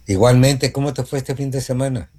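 Brief: male radio host, mid pitch, chatty studio delivery. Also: steady tone, mellow. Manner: chatty, repeats phone numbers slowly. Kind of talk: morning radio talk and sponsor reads.

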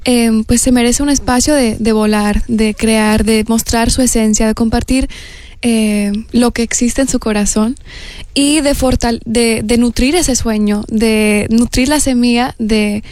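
A young woman from the United States, a singer guest on a radio show, speaking softly and reflectively in Spanish with a hard-to-place Latin American accent and frequent restarts. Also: fairly high pitch, steady tone, unhurried, no echo. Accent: mixed Latin American Spanish. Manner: soft-spoken, reflective, hesitant restarts. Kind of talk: radio interview.

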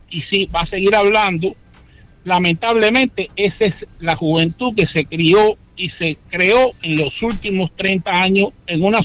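A man calling in to a radio show, giving his opinion in an earnest, measured way. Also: high voice, fairly bright tone, rough recording. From Cuba. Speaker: caller.

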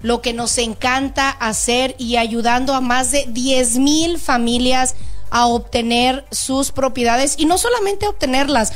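A woman from Jalisco hosting a real estate radio talk show, upbeat and salesy, spelling out a phone number slowly. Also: high-pitched, soft background music. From Jalisco, Mexico.

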